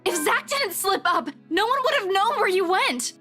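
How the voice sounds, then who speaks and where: Very high-pitched, fierce young heroine. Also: female voice, expressive, normal speed, pushed and bright, faint music behind, full character voice. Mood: upset, scolding. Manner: emotional, defiant, confrontational. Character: fierce, stubborn, caring young woman in danger. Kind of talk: dramatic video game voice acting.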